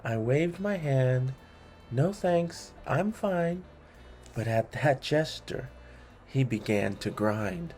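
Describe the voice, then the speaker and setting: Slow, mellow man reading funny rhymes. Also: mid-range voice, animated, faint music behind. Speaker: read-along host.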